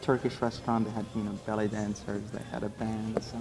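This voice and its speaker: Gravelly, even-toned man from Syria. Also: lowish voice, brisk. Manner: relaxed, chatty, with light laughs. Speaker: groom-to-be interviewee. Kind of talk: casual chat. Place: hotel meeting room, seated at a table. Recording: music playing under the voice.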